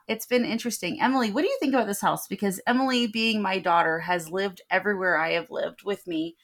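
Animated, joking middle-aged woman telling stories. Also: mid-range voice. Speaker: podcast co-host. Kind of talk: casual chat. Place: bedroom in a new home, sitting on the floor.

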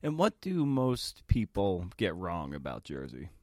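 A man's voice: sits low, huge pitch swings, a little slow. A man hosting a podcast, asking long, rambling questions that he corrects as he goes. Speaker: podcast host. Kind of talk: interview questions.

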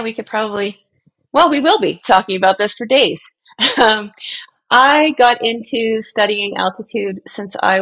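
Woman guest with creaky voice. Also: mid-range voice, a bit bright. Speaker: altitude coach and podcast guest. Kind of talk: conversational interview answers.

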